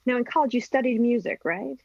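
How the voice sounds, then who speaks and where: Brief: woman host, mid pitch, dry muffled remote line, easygoing questions. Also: quick pace, gravelly, no echo. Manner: warm back-channel "mm-hmm", rambling questions. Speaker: radio host. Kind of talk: interview questions.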